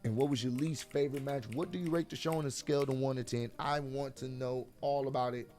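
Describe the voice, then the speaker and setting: A man reviews a wrestling show in a loose, chatty way, calling out to his viewers. His voice is hoarse and a bit sore. He speaks at an ordinary middle pitch. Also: fairly even intonation, fast talker, warm tone, faint music behind. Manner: chatty, addresses viewers directly. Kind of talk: casual video review.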